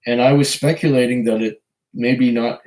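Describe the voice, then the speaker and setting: A man with a hoarse voice from a cold, hosting a casual live stream, reading viewer comments and chatting in a rambling, hesitant way. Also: medium pitch, steady tone, moderate pace, darkish tone, rough recording. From Canada. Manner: rambling, hesitant, chuckles often. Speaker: whiskey channel host reading live chat.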